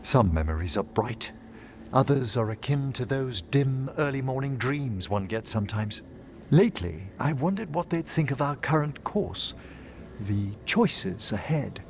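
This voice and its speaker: A courtly, wistful necromancer, noisy background. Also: male voice, medium pitch, animated. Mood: wistful, solemn. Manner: formal, measured, eloquent. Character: gentle, bookish gentleman necromancer, courtly and wistful. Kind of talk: video game dialogue acting.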